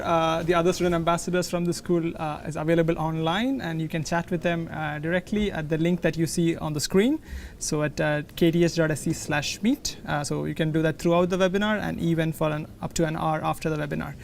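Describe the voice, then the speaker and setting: A man in his thirties hosting a university webinar in a large library hall, friendly and measured with small fillers. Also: a little high, fairly even intonation, vocal fry, slight background noise. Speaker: host.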